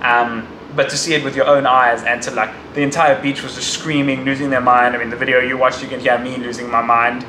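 A man's voice: medium pitch, brisk, few pauses, reverberant. A man vlogging, talking casually with fillers as he recaps a trip, recorded outdoors on a handheld camera. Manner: excited shouting and cheering during launch. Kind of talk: casual travel vlog. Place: outdoors on a crowded beach. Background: crowd cheering and outdoor noise.

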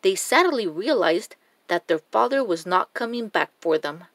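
A woman storyteller reading a children's storybook aloud in a deliberate, expressive manner. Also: mid-range voice, no room sound. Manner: slow, deliberate, expressive read-aloud delivery. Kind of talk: scripted children's storybook narration.

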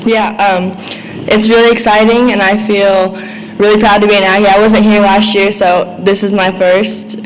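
A young woman player answers briefly and plainly, her voice flat in tone. A long hall reverb and faint background noise surround her. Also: medium pitch, normal speed. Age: young adult. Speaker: college basketball player. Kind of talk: press conference answers.

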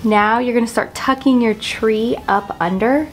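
A woman's voice: fairly high pitch, steady tone, unhurried, slight background noise. A woman in her forties hosting a chatty home decor vlog, talking through a table setting in her dining room with a camera across the table. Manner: chatty, bubbly, self-teasing. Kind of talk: casual how-to vlog.

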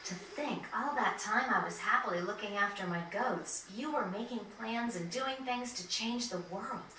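A woman's voice: on the high side, normal speed, pushed and bright. An actress playing a self-assured young woman adventurer in a fantasy video game cutscene. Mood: thoughtful. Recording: echoey, noisy distant capture off a TV.

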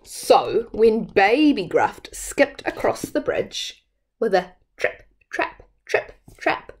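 A woman in her thirties tells a children's story in an expressive read-aloud style. Her voice is fairly high and very animated, and she takes her time. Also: takes it slowly. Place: small room at home.

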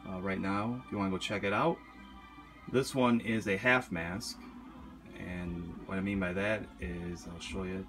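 A man casually showing off a collectible horror mask in a vlog, talking loosely with lots of ums and uhs. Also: low-pitched. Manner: relaxed, many filler ums and uhs. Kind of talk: casual show-and-tell vlog. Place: indoor room with horror posters. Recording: music playing clearly behind.

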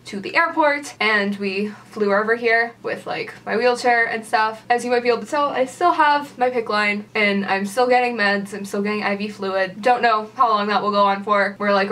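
A woman's voice: a little high, fairly bright tone, distant mic. A young woman vlogging casually at home, rambling with lots of "like" fillers while gesturing. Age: young adult. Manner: chatty, lots of "like" fillers, gestures with her hand. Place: small room at home, indoors by a door.

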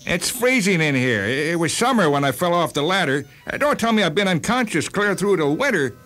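A man's voice: high-pitched, big pitch swings, fairly quick, fairly bright tone. A man playing a cranky middle-aged store owner who grumbles and complains irritably in a radio-style story. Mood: irritable complaining. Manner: irritable, grumbling, hesitant starts.

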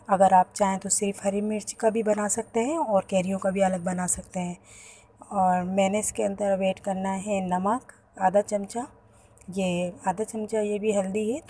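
A woman, hosting a home cooking channel and calmly talking through each step of a recipe. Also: mid-range voice. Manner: explains each step plainly to viewers. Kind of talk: home cooking tutorial voice-over. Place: home kitchen.

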